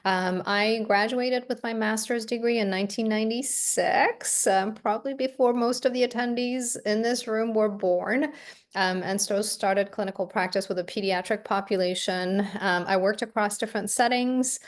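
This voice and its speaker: A woman's voice: mid-range voice, fairly even intonation, normal speed. Farsi-native woman in her fifties. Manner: frequent um fillers, soft-spoken. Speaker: university faculty panelist. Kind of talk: casual panel introduction. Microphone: video call.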